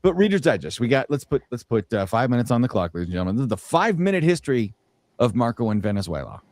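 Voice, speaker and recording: A man's voice: mid-range voice, big pitch swings, quick pace, darkish tone, no echo. A man hosting a live podcast stream, chatting casually and joking, often restarting his sentences. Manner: joking, loose, restarts a lot. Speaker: podcast host.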